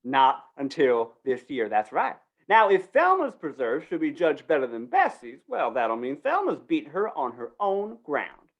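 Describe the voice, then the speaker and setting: A woman's voice: low-pitched, huge pitch swings, a little slow, heavily acted. A woman acting a flustered, wheedling small-town male undertaker in a 1950s-style Western radio play, stammering in rustic speech. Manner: stammering, pleading, rustic grammar.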